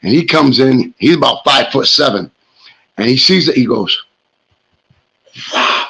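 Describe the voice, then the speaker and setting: A man's voice: medium pitch, animated, a little slow, vocal fry, bright, forceful tone, breathy edge. A man hosting a sports talk show, telling a story in a loose, rambling, casual way. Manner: loose, rambling anecdote with fillers.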